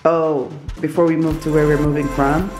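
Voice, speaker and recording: A woman's voice: deep voice, flat delivery, normal speed, over music. A woman in her thirties, a mother giving a casual, chatty tour of an empty house from a handheld camera, laughing often. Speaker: vlogger, mother.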